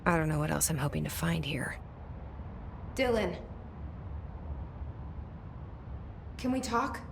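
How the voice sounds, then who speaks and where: A woman's voice: deep voice, expressive, normal speed, vocal fry, noisy background. An actress with an American accent playing a determined young woman trying to save her brother in a game cutscene, blunt, wry and restrained. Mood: wry, guarded. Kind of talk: video game cutscene acting, naturalistic.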